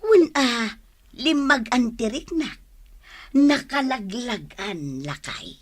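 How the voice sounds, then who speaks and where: A woman's voice: a little high, lively intonation, slow pace, husky, no room sound, exaggerated voice. An actress with an Ilocano accent playing a good-natured middle-aged village wife and mother in a radio comedy drama. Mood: content, friendly.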